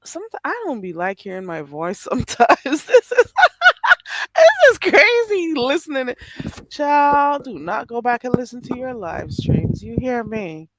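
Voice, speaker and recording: A woman's voice: fairly high pitch, big pitch swings, measured pace, no room sound. Stuffy, nasal woman chatting live late at night. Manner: chatty, laughs often, drawls "child". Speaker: live streamer reading chat. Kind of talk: casual late-night live stream chat.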